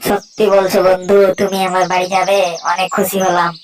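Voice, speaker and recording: Woman as a low, even-toned cartoon tiger. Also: a little fast, faint music behind, lightly acted. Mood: pleased, reassuring. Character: friendly but selfish tiger inviting a friend. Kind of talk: cartoon character voice acting.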